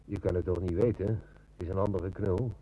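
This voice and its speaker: An actor with a Netherlands Dutch accent playing a stern, shrewd police inspector in an old radio drama, speaking with dry authority. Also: male voice, sits low, steady tone, normal speed, warm tone, poor audio. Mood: wary, commanding.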